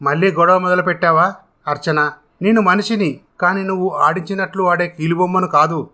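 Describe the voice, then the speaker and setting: A man's voice: sits high, fairly even intonation, moderate pace, bright, forceful tone. A man voicing a curt, easily irritated young village husband in a story, blunt and commanding.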